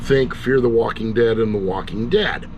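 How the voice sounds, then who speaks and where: A man's voice: medium pitch, moderate pace. A middle-aged or older man giving a casual, rambling review in a wry, self-deprecating way, as the host of his own channel. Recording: steady loud air-conditioner-like background hum.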